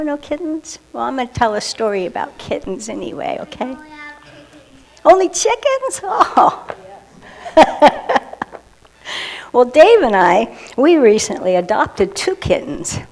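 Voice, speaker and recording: A woman telling a story and rhyming poem to children, expressive, with hushed moments. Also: medium pitch.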